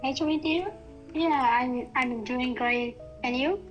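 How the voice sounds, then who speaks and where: Hesitant high-voiced Thai woman. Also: unhurried, highs cut off, music in the background. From Thailand. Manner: hesitant, searching for words with many fillers. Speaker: guest, university student. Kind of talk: casual language-practice chat.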